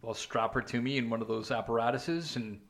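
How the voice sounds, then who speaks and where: A man's voice: medium pitch, fairly quick, a bit bright. A young man co-hosting a podcast, talking casually and rambling with frequent restarts, filler words and "man". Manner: chatty, frequent restarts and "man", "you know". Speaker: podcast co-host. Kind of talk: casual chat.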